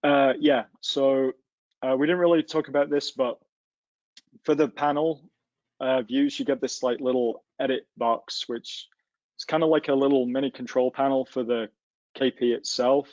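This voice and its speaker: Male webinar instructor, mid pitch, informal step-by-step tech tutorial, close dry sound with slightly dulled audio. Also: steady tone, normal speed, a little mellow, no echo, dull audio. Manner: informal, explains step by step with hands-on examples. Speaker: instructor in a technical software tutorial. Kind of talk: casual live webinar walkthrough. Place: livestreamed online session.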